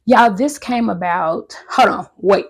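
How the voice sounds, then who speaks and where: A woman in her thirties chatting casually and a bit nervously to her viewers, her voice hoarse from recently losing it. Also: medium pitch, slow. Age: 30s. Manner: chatty, nervous, talks straight to viewers as "y'all". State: voice recovering from loss, hoarse. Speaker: vlogger. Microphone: handheld wireless mic.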